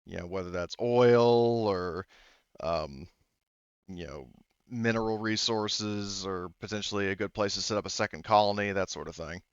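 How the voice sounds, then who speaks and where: A man with a low, smooth voice narrates a tabletop roleplaying game as its game master. He rambles hesitantly and doubles back on his phrasing. The recording is close and dry, slightly muffled on top. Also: normal speed, dry recording. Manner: rambling and hesitant, often backtracking.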